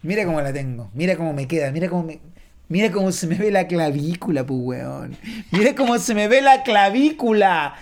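A man's voice: high voice, a little fast. A Chilean man with a Chilean accent giving a pompous, boastful comic political rant, swearing freely. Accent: Chilean Spanish. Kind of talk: comic political character monologue.

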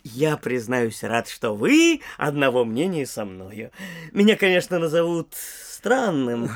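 A boastful young fop in a theatrical comedy audio play. His voice sits high and swings wildly in pitch, yet he draws his phrases out slowly. He sounds affected, giggly and thoroughly pleased with himself. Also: exaggerated voice. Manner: affected, drawling, giggly, with hesitant filler sounds. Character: young foppish Petersburg braggart, flighty, self-satisfied sponger.

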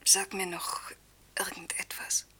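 Breathy, whispering lovestruck woman teacher. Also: lowish voice, flat delivery, takes it slowly, bright, forceful tone, heavily acted. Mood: tender, insecure. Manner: breathy, needy, pleading, often whispering. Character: anxious lovestruck female teacher, secretly phoning her lover. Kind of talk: German radio play acting.

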